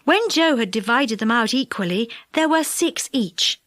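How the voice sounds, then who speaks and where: A woman narrating a children's storybook in an expressive, animated way. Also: on the high side, measured pace, no echo, studio quality. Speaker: audiobook narrator.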